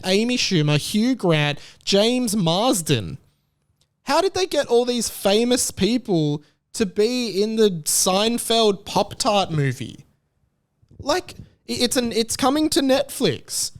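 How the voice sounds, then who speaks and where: A man, hosting a solo podcast in an excitable, rambling way. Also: high-pitched. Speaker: podcast host.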